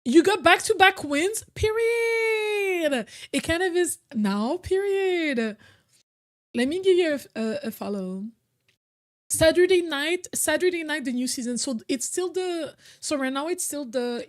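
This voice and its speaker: A woman's voice: high voice, expressive, a little slow. A young woman whose first language is French, chatting casually with her viewers on a live stream, full of "like" and repeated phrases. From Quebec, originally Cameroon.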